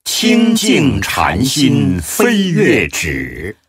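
A man with a deep, husky voice giving solemn, measured and expressive scripted narration of a Buddhist audio drama. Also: no echo.

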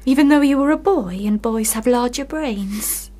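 Woman acting high-voiced, passionate, lovelorn young lady. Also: moderate pace, warm tone, close to an everyday voice. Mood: yearning. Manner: intense, literary, theatrical delivery. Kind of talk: radio play acting.

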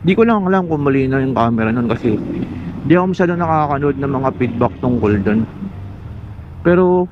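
A man's voice: medium pitch, expressive, brisk, long pauses, noisy background. A Filipino man giving a casual explainer vlog, talking colloquially and directly to the viewer. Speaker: motovlogger. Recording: muffled lo-fi mic, music underneath.

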